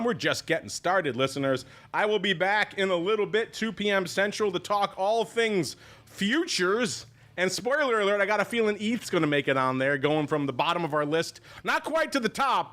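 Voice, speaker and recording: A man hosting a podcast, chatting casually and joking around with a deep voice. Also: a bit bright. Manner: jokey, rambling. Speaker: podcast host. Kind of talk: casual chat.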